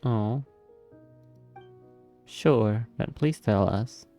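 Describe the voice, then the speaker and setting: A man giving casual, exclamatory gameplay reactions, full of drawn-out interjections. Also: on the low side, warm tone, soft background music. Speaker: gaming YouTuber. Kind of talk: casual playthrough reaction commentary.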